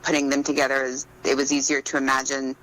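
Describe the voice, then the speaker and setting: A woman's voice: extremely low pitch, completely flat delivery, fast, fairly bright tone, rough recording. A woman giving conversational answers as a guest author in an interview.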